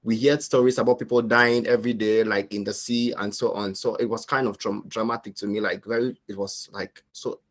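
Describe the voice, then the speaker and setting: A man tells his own story in a fairly low voice, halting and earnest, often restarting a sentence. He speaks into a computer mic on a video call. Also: little pitch movement. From Cameroon. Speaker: panelist sharing his asylum story. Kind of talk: personal testimony.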